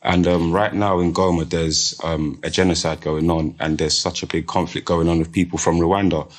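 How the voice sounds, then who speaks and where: A man's voice: deep voice, flat delivery, moderate pace. A man in a TV studio audience asking an earnest, insistent question of a panel. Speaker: audience member on a TV debate. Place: large studio hall.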